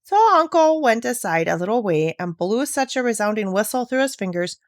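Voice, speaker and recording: A woman's voice: mid-range voice, expressive, a little fast, no echo, clean studio sound. A woman narrating a children's classic aloud as a scripted reading, with careful storytelling phrasing. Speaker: narrator, reader.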